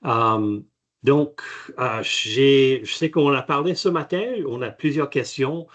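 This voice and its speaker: A man, a native French speaker, answering technical questions on a webinar in a halting way with many restarts. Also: mid-range voice. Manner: halting, frequent restarts and fillers. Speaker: company chairman, guest expert. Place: video call.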